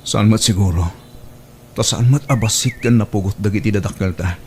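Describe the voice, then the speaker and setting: A man's voice: fairly low pitch, brisk, noisy background, close to an everyday voice. A man acting a humble, hopeful young suitor in an audio drama, speaking politely and earnestly. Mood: hurt, reasoning.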